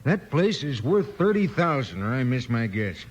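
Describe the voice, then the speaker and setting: An actor playing a folksy small-town sheriff with an American Western accent in a 1950s radio western. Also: male voice, mid-range voice, very animated, moderate pace, breathy edge, muffled recording. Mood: pondering.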